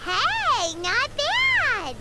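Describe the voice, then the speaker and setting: A woman voicing a small, cheeky, excitable childlike fairy companion in a video game, exclaiming and talking in the third person. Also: extremely high pitch, very slow, loud music behind the voice, exaggerated voice. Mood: excited. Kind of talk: video game character acting.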